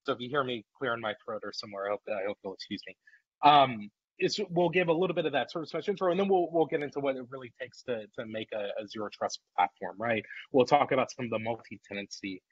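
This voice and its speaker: A man's voice: medium pitch, quick pace, husky, dry recording. A man in his thirties presenting a technical workshop on a video call, talking casually with lots of fillers and repeated words, congested from a recent illness. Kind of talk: live webinar presentation. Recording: muffled video call audio.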